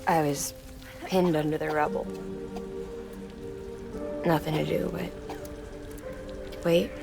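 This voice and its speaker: Grieving mother in a game, quiet, reflective, lowish voice, music underneath. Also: little pitch movement, slow pace, creaky, full character voice. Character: grieving young mother, calm and resolute. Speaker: mother character. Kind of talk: video game cutscene acting.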